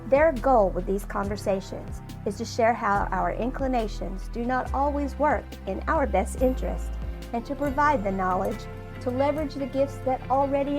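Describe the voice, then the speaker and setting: Female promo announcer, polished intro read, music underneath. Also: fairly high pitch. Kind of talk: scripted promo narration over music. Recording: narration over clear music bed.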